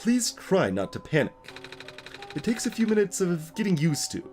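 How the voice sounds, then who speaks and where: A man voicing an earnest, apologetic young game character who explains things gravely in a measured, hesitant way. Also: high voice, wildly swooping pitch, darkish tone, faint music behind. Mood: reassuring. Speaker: let's play voice-over reader. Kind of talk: acted reading of game dialogue.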